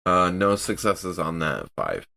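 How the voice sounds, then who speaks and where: Casting: male game player, deep-leaning voice, slow delivery with big pitch swings, informal table talk. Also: big pitch swings, vocal fry, background noise. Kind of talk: casual game chat.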